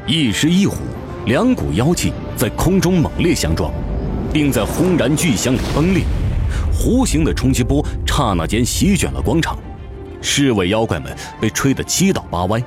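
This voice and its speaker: A man's voice: medium pitch, sing-song, moderate pace. A man narrating a fantasy audio drama from a script, with intense, theatrical storytelling. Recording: music behind the voice.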